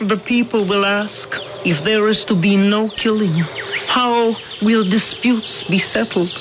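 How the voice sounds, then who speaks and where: An actress playing a solemn, devout island priestess in a 1980s radio drama, speaking formally and ceremonially. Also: female voice, medium pitch, steady tone, unhurried, background noise, close to an everyday voice. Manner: formal, ceremonial third-person speech. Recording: dull 1980s radio broadcast, loud music behind.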